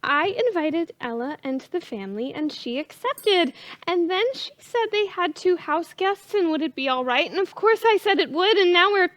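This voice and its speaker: An actress playing a scatterbrained young debutante daughter in a stage comedy, flustered and pleading in manner. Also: female voice, very high voice, expressive, normal speed, a bit bright. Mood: panicked. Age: young adult.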